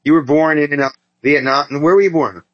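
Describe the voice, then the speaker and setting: A man's voice: a little high, normal speed, muffled recording. A man hosting a podcast, talking in a conversational interview style. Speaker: podcast host.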